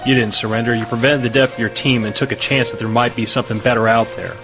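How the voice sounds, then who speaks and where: An actor playing a shrewd, steady military commander in an audio drama, giving terse, authoritative orders. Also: male voice, mid-range voice, quick pace, natural voice. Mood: firm, measured. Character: shrewd, steady male military commander in post-disaster America. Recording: loud dramatic music underneath.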